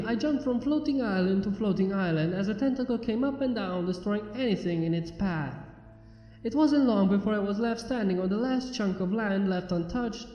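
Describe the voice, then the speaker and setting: A man's voice: high voice, mellow, muffled recording. A man reading a first-person fan fiction story aloud as scripted narration. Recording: roomy echo, reverb, music behind. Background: background music.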